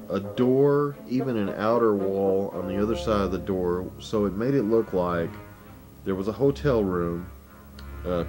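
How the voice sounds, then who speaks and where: A middle-aged man casually looking back on amateur film shoots in a documentary interview. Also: low voice. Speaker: amateur filmmaker recalling past productions. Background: background music.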